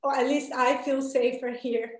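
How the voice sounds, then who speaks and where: Young Colombian woman, high voice, hesitant and earnest, echoey room on a video call. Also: level tone, normal speed. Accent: Colombian Spanish. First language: Spanish. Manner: earnest, hesitant, with many fillers. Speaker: participant asking a question. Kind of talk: asking a question in an online Q&A. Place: small home room. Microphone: video call laptop.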